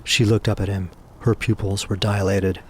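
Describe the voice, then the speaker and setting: A man's voice: lowish voice, flat delivery, normal speed, a little hiss or hum. A man narrating a scripted audio drama in a theatrical, suspenseful storytelling manner. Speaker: narrator and voice actor. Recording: music behind the voice.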